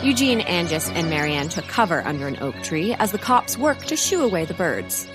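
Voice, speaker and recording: A woman's voice: fairly low pitch, big pitch swings, moderate pace. A woman reading scripted narration for a comedic audio drama, setting the scenes as a storyteller. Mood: announcing. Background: music.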